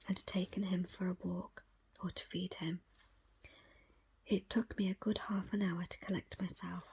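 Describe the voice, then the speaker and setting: Breathy whispering woman reading a book. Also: fairly low pitch, a little mellow. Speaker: audiobook reader.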